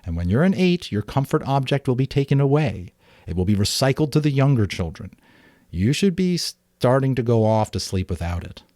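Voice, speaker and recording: Man acting a storybook mom, caring, earnest, patient advice, mellow, dry sound. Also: mid-range voice, sing-song, moderate pace, no echo, voice pushed up, lightly acted. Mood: fond, firm. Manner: patient, explanatory, gently advising.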